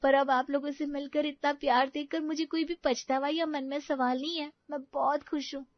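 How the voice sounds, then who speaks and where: A woman's voice: high voice, steady tone, moderate pace, no room sound, dull audio, close to an everyday voice. A woman playing a timid, grateful young orphan bride in an audio story, hesitant and deferential. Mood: tearful gratitude.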